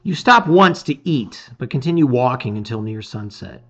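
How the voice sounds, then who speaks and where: A man's voice: medium pitch, animated, normal speed, a bit bright. A middle-aged man giving a dramatic reading of a fantasy adventure, on a slightly dull recording. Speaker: storybook reader.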